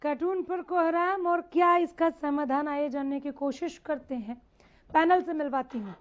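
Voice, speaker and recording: A woman's voice: high-pitched, moderate pace. A woman moderating a television news debate as its host, addressing guests and putting questions to them. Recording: slightly dull, lo-fi broadcast audio.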